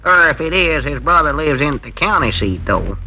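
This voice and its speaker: A grumbling, excitable male country storekeeper. He has a creaky, very bright, slightly high voice and a rural Southern accent. He mutters sarcastically through a faintly noisy 1940s recording. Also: normal speed, heavily acted. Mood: sarcastic. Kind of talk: 1940s radio comedy acting.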